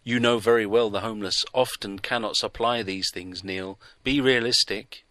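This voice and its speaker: A man's voice: on the low side, fairly even intonation, normal speed, bright, forceful tone, dry recording. A man reading the part of a caring woman friend who pleads protectively. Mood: pleading.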